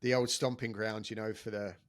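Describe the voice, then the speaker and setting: A man's voice: on the low side, flat delivery, a little fast, no room sound, studio quality. A man hosting a podcast, chatty and enthusiastic, talking casually with many restarts. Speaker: podcast host.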